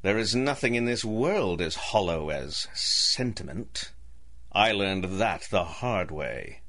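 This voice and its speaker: An actor playing an embittered, scheming ruined gentleman in a radio drama, with formal, theatrical speech. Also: fairly low pitch, animated, slow pace, exaggerated voice. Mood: suave, sinister. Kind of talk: 1930s-set radio drama acting.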